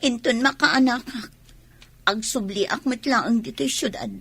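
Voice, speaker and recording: Woman acting high-voiced ambitious young village woman, Ilocano. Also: a little slow, slightly rough, dry recording. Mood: resigned. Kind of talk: Ilocano radio drama acting.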